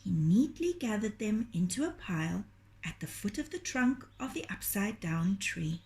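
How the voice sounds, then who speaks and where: A woman reading a children's story aloud in a gentle, deliberate, expressive storytelling manner. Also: mid-range voice. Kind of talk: children's storytelling, scripted narration.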